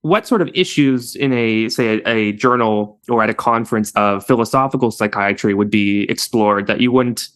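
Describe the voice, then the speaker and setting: A man's voice: on the low side, normal speed, hardly any pauses, fairly bright tone. Man hosting a podcast interview. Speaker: podcast host.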